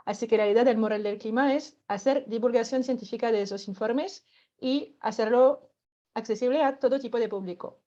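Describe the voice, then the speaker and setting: A woman's voice: a little high, fairly quick, dark tone, highs cut off. A woman from France speaking with a French accent, patiently explaining a climate workshop as a radio guest, with many filler words and light laughs.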